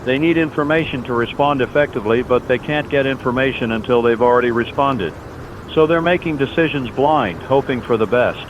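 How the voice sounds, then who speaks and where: A man playing an earnest, steadfast overnight radio host in a scripted disaster drama, speaking in solemn, reassuring, deliberate phrases. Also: lightly acted. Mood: grave, urgent. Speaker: late-night radio host in a fictional broadcast. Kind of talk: scripted radio drama monologue, emergency broadcast. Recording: dull lo-fi audio, loud outdoor rumble.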